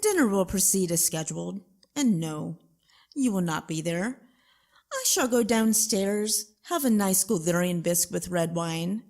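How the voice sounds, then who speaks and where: A woman playing a wealthy, haughty plantation owner in an audio drama, formal and measured with quiet menace. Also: on the low side, animated. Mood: quietly menacing.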